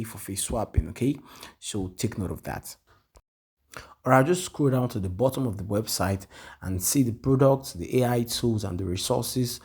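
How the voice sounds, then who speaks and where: A man giving a software tutorial, often checking "okay?" and filling pauses with "um". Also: lowish voice, slightly rough. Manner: repetitive, says "okay?" often, many "um"s. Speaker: tutorial host. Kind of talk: screen-recorded software walkthrough.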